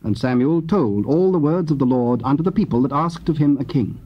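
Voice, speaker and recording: A man's voice: fairly high pitch, expressive, breathy edge. Formal male narrator, muffled old tape. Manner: formal, measured. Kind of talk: solemn dramatized scripture narration. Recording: muffled, hissy old cassette recording.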